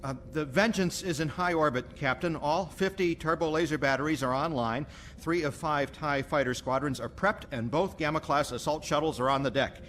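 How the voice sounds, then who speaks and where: An actor playing a gossipy, bigoted but deferential space navy commander in an audio drama, with a military manner. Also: male voice, on the high side, a bit bright, slight background noise, natural voice. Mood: nervous, deferential. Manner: brisk military, smug with peers.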